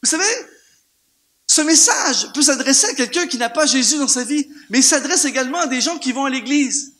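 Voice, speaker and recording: A man with a Canadian French accent preaching an animated sermon to a church congregation, firing off rhetorical questions and repeating words for emphasis. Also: very high-pitched, a little fast. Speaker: guest preacher. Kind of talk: lively sermon.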